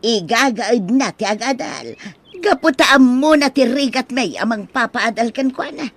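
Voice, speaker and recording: A nosy village woman played by a radio actress, with a high voice. She gossips in Ilocano radio drama style, exclaiming in scandalized surprise. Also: lively intonation, a little slow. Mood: scandalized, gossipy.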